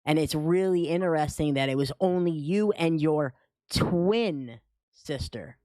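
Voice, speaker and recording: A man's voice: fairly high pitch, a little slow, dark tone, clean tone, no room sound. Man in casual discussion, dry sound. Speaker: talk show panelist.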